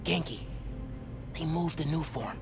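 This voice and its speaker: An actor playing an earnest teenage male superhero in a video game, casual and wry as he thinks out loud. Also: fairly high pitch, slow, creaky. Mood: focused, hushed. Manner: wry, self-talking, casual. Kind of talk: video game cutscene acting. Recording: loud music behind the voice.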